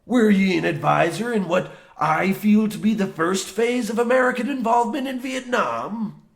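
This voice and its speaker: A man's voice: sits high, animated, normal speed, gravelly. Man acting folksy old coal mine foreman. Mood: blustering, indignant. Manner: archaic folksy dialect, ye and tells you. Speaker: radio play actor. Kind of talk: old-time radio horror comedy acting.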